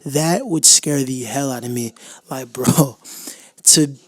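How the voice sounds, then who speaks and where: A man in his twenties talks casually into a podcast mic, with a medium-pitched voice. He rambles, cuts himself off and acts out his own thoughts in quick asides. The room sounds dry and close. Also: fairly bright tone, dry recording. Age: 20s. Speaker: podcast host. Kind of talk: casual motivational monologue.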